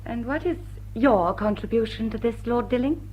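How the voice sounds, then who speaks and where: An actress playing a witty woman thief among English high society in a radio drama, coolly polite and mock-innocent. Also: moderate pace, darkish tone, breathy edge, rough recording. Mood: composed, teasing. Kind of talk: classic radio drama acting.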